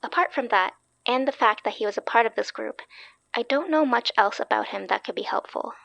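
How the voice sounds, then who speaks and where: A woman's voice: a little high, a little fast, vocal fry, fairly bright tone, no echo. A woman playing a keen, wry young researcher in a horror audio drama, explaining things casually. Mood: eager, wry.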